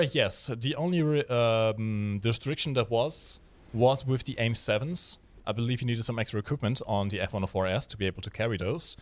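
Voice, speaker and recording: A man from Germany with a German accent, hosting a game livestream with casual, explanatory commentary and jokey chat. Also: mid-range voice.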